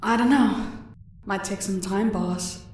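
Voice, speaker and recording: A woman's voice: mid-range voice, slow, a little mellow, distant mic, lightly acted. A woman playing a loyal, understated henchwoman torturer in an audio roleplay, terse and deferential to her boss. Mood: deferential, unhurried. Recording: very echoey room, away from the mic.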